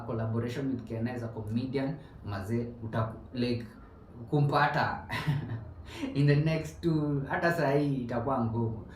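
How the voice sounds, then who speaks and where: A man's voice: mid-range voice, darkish tone, recorded from a distance, a little hiss or hum. Young Kenyan man chatting off-mic. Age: young adult. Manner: mixes English and Swahili slang, chatty with many restarts. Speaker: podcast host. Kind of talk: casual chat. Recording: roomy, off-mic with faint ambience.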